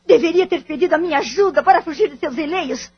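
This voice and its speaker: A woman's voice: high voice, fast, highs cut off, lightly acted. A woman acting in an audio drama with a Brazilian accent, playing a bitter, wounded wife and devoted mother in formal, melodramatic phrasing. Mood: reproachful, hurt.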